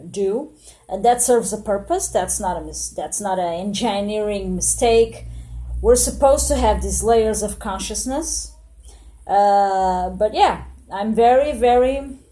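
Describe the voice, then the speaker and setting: A woman in her late thirties, from Portugal, talks straight to the camera in a mellow, soft-edged voice at a middling pitch. She reflects earnestly, circling back on her words with frequent fillers and self-corrections. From Portugal, born in Angola. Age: 30s. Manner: hesitant, many fillers and restarts, earnest and reflective. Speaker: vlogger. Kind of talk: spontaneous monologue to camera.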